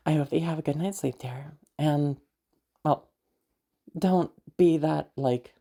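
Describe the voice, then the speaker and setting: A woman playing a friendly young woman with a hidden obsessive side, in roleplay audio addressed to the listener, with a husky, hesitant delivery. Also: low voice, slow, warm tone, heavily acted. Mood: awkward, coy.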